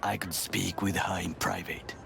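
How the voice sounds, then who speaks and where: Disciplined middle-aged game bodyguard, Japanese accent, gravelly, stiff formal phrasing, clear street noise behind. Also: male voice, low-pitched, little pitch movement, measured pace, full character voice. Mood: secretive, measured. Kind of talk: cinematic video game dialogue. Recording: game dialogue over street and vehicle noise.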